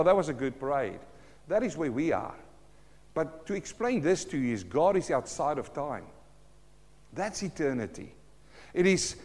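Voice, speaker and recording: A middle-aged man preaches earnestly in a church hall. His is Afrikaans, and his voice sits a little high for a man. The hall's long reverb trails after his words. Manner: earnest, addresses listeners as friends, asks rhetorical questions. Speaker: pastor. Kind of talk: sermon.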